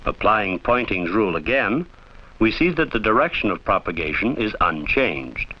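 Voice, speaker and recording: A man reading scripted instructional narration for a training film in a formal, measured, didactic way, on an old film soundtrack. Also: fairly low pitch, sing-song, dull audio.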